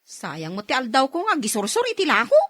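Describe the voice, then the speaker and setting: A modest but frank working woman in her thirties played by an actress talks in a radio drama. Her voice is high, Ilocano-accented and recorded very dry. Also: huge pitch swings, fairly quick, pushed and bright, no room sound, heavily acted. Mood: practical. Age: 30s. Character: modest, hardworking 31-year-old woman, bashful but frank.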